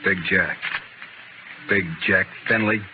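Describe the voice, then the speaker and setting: An actor playing a sickly, dangerous gunfighter in a 1950s radio Western, with dry, sardonic courtesy. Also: male voice, sits low, flat delivery, narrow, tinny audio. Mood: wry, relaxed. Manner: dry, sardonic, unhurried politeness. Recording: thin, hissy old-time radio recording.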